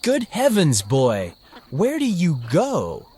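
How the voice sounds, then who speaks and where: An actor playing a lively, teasing young man in an audio story. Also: on the high side, takes it slowly, slight background noise, exaggerated voice. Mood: exclaiming. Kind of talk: acted dialogue in an audio story.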